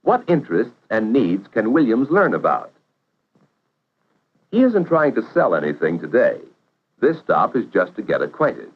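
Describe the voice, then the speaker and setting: A man narrating a 1950s educational film in a measured, instructive way, posing questions to the viewer. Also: mid-range voice, sing-song, breathy edge. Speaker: narrator of vocational training film. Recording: dull vintage 1950s film soundtrack.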